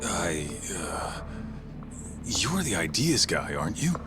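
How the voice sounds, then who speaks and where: A man's voice: sits low, very animated, takes it slowly, full character voice. A man playing a wry, laid-back, streetwise tough guy in an English video game dub. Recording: music under the voice.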